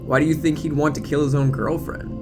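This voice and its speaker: A needy, slightly dim teenage boy acted by a man tags along eagerly and sounds easily wounded. It is an audio drama performance, with clear music behind the voice. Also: fairly high pitch, level tone, fast, natural voice. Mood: defensive.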